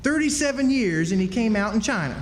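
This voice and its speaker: A very high, animated American boy played by a man, stubborn and full of grand schemes. He insists in folksy dialect on a radio play stage, in a hall with long reverb. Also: a little fast, slight background noise. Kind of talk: live radio drama acting. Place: historic club hall, live performance. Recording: echoey hall stage, faint music.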